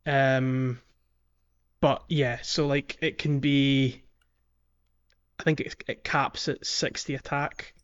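A man's voice: medium pitch, little pitch movement, slow pace, pauses often, clear, resonant tone. A man co-hosting a casual gaming podcast, explaining things agreeably on a slightly dull recording. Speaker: podcast co-host.

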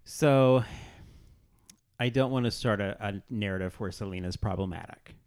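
A man's voice: lowish voice, moderate pace, a little mellow. A man hosting a podcast, talking casually about pop culture. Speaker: podcast host.

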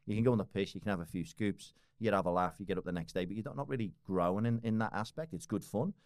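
Deep fast-talking Liverpool man. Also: darkish tone, no echo, clean studio sound. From Liverpool, England. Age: 40s. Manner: rambling, many restarts and fillers, swears casually. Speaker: podcast guest. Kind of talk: casual podcast conversation.

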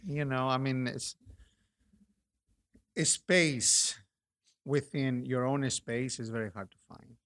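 A middle-aged man with a Peruvian accent, an artist talking in a relaxed interview, reflective and halting, with many restarts. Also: medium pitch.

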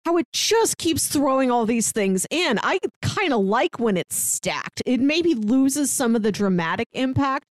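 A woman co-hosting a casual TV review podcast, giving measured, thoughtful opinions. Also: high voice, big pitch swings, no room sound, studio quality. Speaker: podcast co-host.

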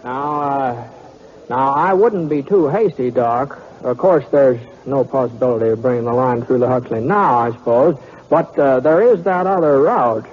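An actor playing a mild, sly gunslinger in an old American radio western, with a hesitant, understated, folksy way of talking. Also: male voice, medium pitch, moderate pace, natural voice. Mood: slyly innocent. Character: mild, sly, drawling drifter gunslinger, adult man. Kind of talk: old-time radio western acting and narration. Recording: tinny, noisy old radio recording.

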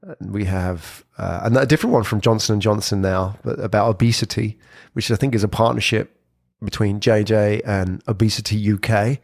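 A man giving an informal explainer talk on podcasting, lively and conversational, with frequent "sort of" hedges. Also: on the low side, normal speed, vocal fry, no room sound, clean studio sound. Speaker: presenter on brand podcasting.

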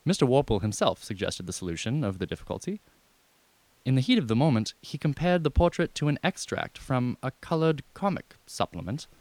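A man's voice: mid-range voice, very animated, darkish tone, no room sound. A man playing a deferential, unflappable valet who speaks formally and at length in a comic radio drama. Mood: discreetly persuasive. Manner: formal, measured, circumlocutory.

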